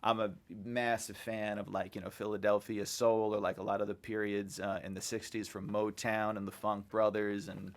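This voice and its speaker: A man hosting a casual music interview, rambling warmly with lots of fillers. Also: fairly low pitch, flat delivery, moderate pace, hardly any pauses. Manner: rambling and enthusiastic, many fillers. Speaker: interviewer and sound engineer.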